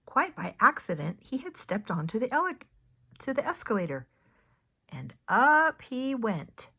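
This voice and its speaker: Older woman, grandmotherly, husky, very slow pace, expressive picture-book read-aloud, pauses for the young listener. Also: a little high. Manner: expressive, pausing, talking to the child listener. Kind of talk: unhurried children's storybook read-aloud.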